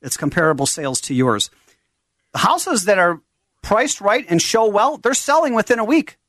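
A man's voice: sits high, sing-song, normal speed, a bit bright, dry recording. A man hosting a radio talk show, upbeat and enthusiastic, speaking into a studio mic.

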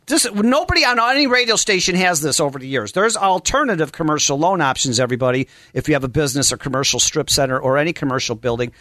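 Bright, high-pitched male radio host. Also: dry recording. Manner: effusive, exclamatory, promotional patter. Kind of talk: live radio talk show hosting. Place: radio studio.

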